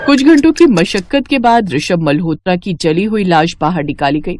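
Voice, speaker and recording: A woman's voice: on the low side, lively intonation, normal speed, a little mellow, a little hiss or hum. A woman narrating a scripted story as a storyteller.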